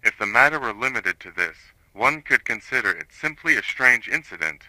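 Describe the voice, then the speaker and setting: Analog horror narrator: male actor as grim retired investigator, formal report delivery, hard bright edge, dry close recording. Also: medium pitch, lively intonation, normal speed, no echo, rough recording. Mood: grim, detached.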